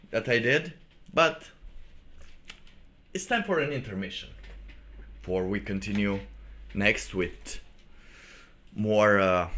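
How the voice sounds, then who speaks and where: A man hosting a livestream in a casual, rambling way, thinking aloud in halting phrases. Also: on the low side, bright, forceful tone. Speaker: livestream host.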